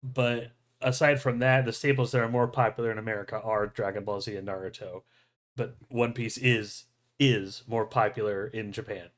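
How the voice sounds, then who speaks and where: A man's voice: lowish voice, fairly even intonation, normal speed, fairly bright tone. A man hosting a podcast, chatting casually and enthusiastically as a fan, with plenty of swearing. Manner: profane, enthusiastic fan talk. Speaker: podcast host. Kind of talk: casual chat about movie news.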